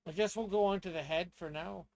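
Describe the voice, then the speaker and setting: A man with a somewhat high voice chats as a player in a tabletop game. He thinks aloud casually, sounding hesitant and tentative as he works through choices. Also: brisk, creaky, darkish tone, rough recording.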